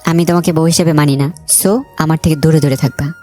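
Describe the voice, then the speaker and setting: A woman's voice: low voice, steady tone, a little fast, warm tone, faint music behind, voice pushed down, lightly acted. A woman voicing a cold, vengeful young man in a romantic story, with a curt, commanding manner. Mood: cold, resentful. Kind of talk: dramatised romantic story dialogue.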